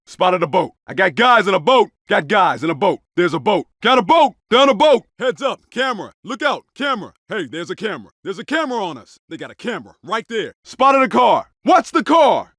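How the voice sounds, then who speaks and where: An urgent, high-pitched undercover cop. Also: male voice, fairly bright tone, no echo, studio quality, heavily acted. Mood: tense, hushed. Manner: urgent, clipped, commanding. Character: male undercover cop in combat, urgent and alert. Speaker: video game player-character voice. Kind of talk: short shouted tactical callouts.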